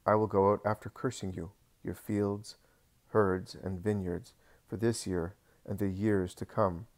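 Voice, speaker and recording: A man's voice: deep voice, little pitch movement. A man voicing a stern, grave teacher. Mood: severe. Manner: severe, measured.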